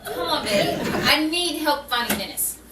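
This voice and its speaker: A woman's voice: high-pitched, animated, moderate pace. A woman playing an anxious, insistent wife in a 1940s radio comedy, earnest and pleading with flashes of exasperation. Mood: worried pleading. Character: anxious, insistent 1940s wife seeking her missing husband. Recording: echoey, distant mic, noisy background chatter.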